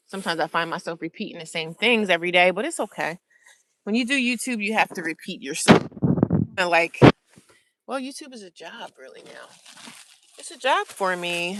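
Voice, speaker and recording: A woman's voice: lowish voice, fairly bright tone, poor audio. An American woman chatting casually to viewers as a livestream host, informal and often repeating phrases for emphasis.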